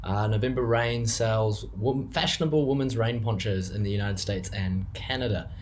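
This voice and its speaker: A man's voice: on the low side, moderate pace, a little mellow, a little hiss or hum. Hesitant New Zealand man giving a testimonial. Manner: hesitant, many fillers and restarts. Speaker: business co-owner giving a client testimonial. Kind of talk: unscripted testimonial.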